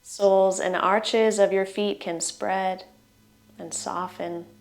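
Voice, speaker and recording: Woman doing a guided body relaxation, really slow, soothing and gentle, short phrases that fade off, medium pitch. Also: steady tone, creaky. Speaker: meditation guide.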